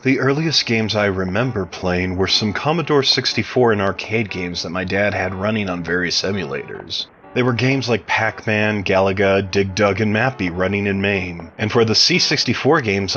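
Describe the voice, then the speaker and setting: A man's voice: deep voice, normal speed, hardly any pauses, fairly bright tone, highs cut off, slight background noise. A man giving prepared answers as a podcast interview guest, sounding like he reads them out. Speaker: interview guest, game mod developer.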